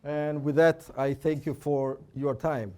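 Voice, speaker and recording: Man, Brazilian Portuguese accent, conference lecture, fairly high pitch, warm dark tone, informal, restarts. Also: steady tone, slow pace. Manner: informal, tag questions, frequent restarts. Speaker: conference speaker, fetal cardiology. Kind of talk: conference lecture with live scan.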